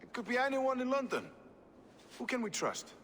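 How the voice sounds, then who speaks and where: A man's voice: very high-pitched, very animated, normal speed, fairly bright tone, a little hiss or hum, exaggerated voice. An actor playing a hard-bitten, pragmatic city strongman in a video game, speaking dryly and bluntly. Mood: blunt, suspicious. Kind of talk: dramatic video game acting. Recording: long echoing hall, music behind.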